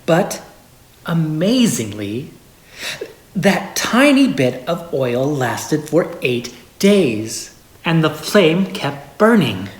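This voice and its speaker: A person reading a holiday story aloud in a measured storybook way with expressive pauses. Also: medium pitch. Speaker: story reader. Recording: echoey room.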